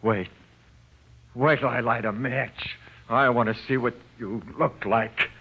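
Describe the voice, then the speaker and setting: A man's voice: medium pitch, fairly even intonation, slow pace, slight background noise. An actor playing a frightened jailed gangster in an old-time radio crime drama, with slangy, ungrammatical tough-guy talk that cracks into pleading, on a muffled old recording. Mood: shaken, disbelieving. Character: frightened, panicky jailed gangster, afraid of the dark.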